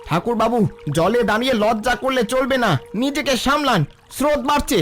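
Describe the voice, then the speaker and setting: A man's voice: extremely high pitch, fairly quick, a bit bright, faint music behind. A man playing a brave, selfless village father and riverman in a cartoon, firm and urgent. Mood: firm, reassuring. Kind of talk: cartoon dialogue.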